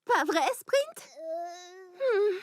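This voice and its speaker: Timid cartoon deer girl, childlike high pitch, hugely swooping melody, excitable and sweet. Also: no echo, full character voice. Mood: nervous, hesitant. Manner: hesitant, drawn-out, expressive. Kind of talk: French animated cartoon dub. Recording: loud music behind the voice.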